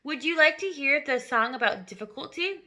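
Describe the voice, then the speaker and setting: A woman's voice: high voice, animated, moderate pace, sharp tone. Woman doing an eager little schoolboy. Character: eager young schoolboy, brave and chirpy.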